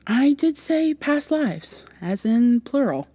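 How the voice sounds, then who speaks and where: A woman's voice: high voice, very animated, takes it slowly, husky, dry recording, full character voice. A woman playing an earnest, protective time traveler in an ASMR roleplay, speaking close to the mic in a hesitant, rambling, reassuring way. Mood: earnest, explaining. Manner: hesitant, rambling, gently reassuring, some whispering. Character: earnest, flustered, long-winded female time traveler, protective. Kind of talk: ASMR roleplay, softly acted monologue to the listener. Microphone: close binaural microphone.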